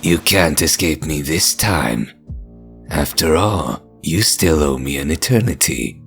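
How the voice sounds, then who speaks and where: Man voicing a gravelly, commanding curse demon. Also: animated, takes it slowly, a bit bright, faint music behind, exaggerated voice. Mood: possessive. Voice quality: gravelly, deep. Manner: low, commanding, threatening. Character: menacing possessive ancient male curse demon. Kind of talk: fan audio roleplay.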